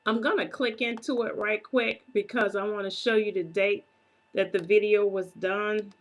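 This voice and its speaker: A woman hosting her own ministry channel, explaining things to viewers in a casual, unhurried way, often clearing her throat and pausing on fillers as she corrects herself. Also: mid-range voice, fairly even intonation. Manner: unhurried, self-correcting, conversational. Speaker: prophetic minister and video channel host.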